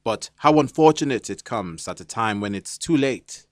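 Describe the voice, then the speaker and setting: A man's voice: medium pitch, expressive, moderate pace, a little hiss or hum. A man delivering scripted narration as a narrator.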